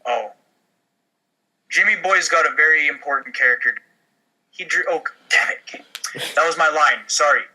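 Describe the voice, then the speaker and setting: Sharp, bright-voiced man at a table read. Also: a little high, moderate pace, pauses often, poor audio. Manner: wisecracking, informal. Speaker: podcast cast member reading a script. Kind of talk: comedy table read with improvised asides.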